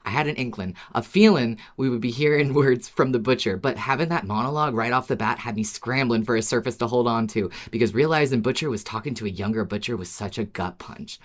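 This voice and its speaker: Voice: medium pitch, quick pace, few pauses. A podcast co-host with an agreeable way of talking, in casual fan discussion and reading out listener email.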